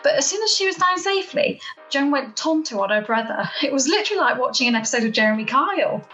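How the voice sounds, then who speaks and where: A woman's voice: high voice, lively intonation, quick pace, bright, forceful tone, lo-fi sound. A woman acting a wry, gossipy neighbour in an amateur radio play, chatty and matter-of-fact. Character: wry, gossipy female neighbour relishing a scene. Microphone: video call from home. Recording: home video-call recording with music.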